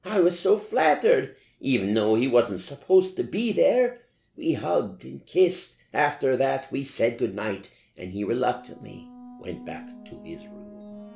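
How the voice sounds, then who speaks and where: A man reading a story aloud as theatrical narration in the style of an old horror-film star, savouring each phrase deliberately. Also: mid-range voice, very animated, creaky, a little mellow, soft background music. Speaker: story reader. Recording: roomy, echoing voice recording.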